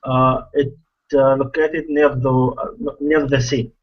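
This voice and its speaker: Saudi guy answering slowly w lots of uhs, muffled call sound. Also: mid-range voice, level tone, breathy edge. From Saudi Arabia. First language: Arabic. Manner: hesitant, many uhs. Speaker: student. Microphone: video call.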